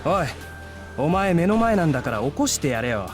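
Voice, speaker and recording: Anime game schoolboy, slightly high voice, rough and offhand, quick, over prominent music. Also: male voice, big pitch swings. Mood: worried, bantering. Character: blunt, casual male high school classmate. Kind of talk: Japanese anime visual novel acting.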